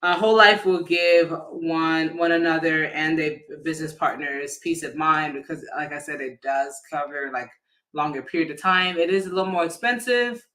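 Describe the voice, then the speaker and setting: A man with a fairly high, very bright voice explains things in an informal live-talk style. His delivery is casual and halting, with sentences often restarted and reworded as he goes. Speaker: insurance agent giving a financial education talk.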